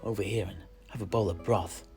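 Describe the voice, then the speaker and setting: A man playing a wry, hospitable tavern keeper in a fantasy audio drama, talking in a measured, old-fashioned way. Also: fairly low pitch, steady tone, vocal fry, warm tone, soft background music. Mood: genial, wry. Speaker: host.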